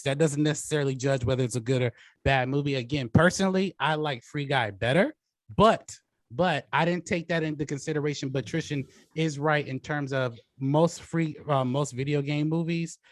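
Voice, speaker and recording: A man's voice: a little high, fairly quick, dry recording. A man hosting a casual debate show, talking through his judging of the match. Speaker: host and judge of a debate show.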